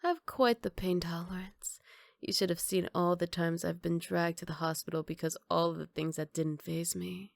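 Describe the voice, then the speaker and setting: A woman playing a flirty, self-assured villainess in an ASMR roleplay, speaking softly and often near a whisper in a sly, unhurried, mock-polite way. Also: lowish voice, fairly even intonation, creaky, dry recording. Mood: coy, mock-polite.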